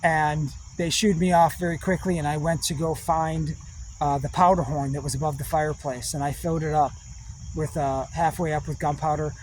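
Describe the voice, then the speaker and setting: A man giving a rambling spiritual monologue in slow, drawn-out, meditative phrases with long pauses. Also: fairly high pitch, little pitch movement, a little mellow, a little hiss or hum, rough recording. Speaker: spiritual speaker.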